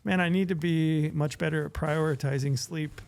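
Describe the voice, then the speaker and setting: A man's voice: fairly high pitch, fairly even intonation, moderate pace, creaky, darkish tone. A man co-hosting a casual podcast, talking loosely and correcting himself as he goes. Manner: rambling, self-correcting, laughs often. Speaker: podcast co-host.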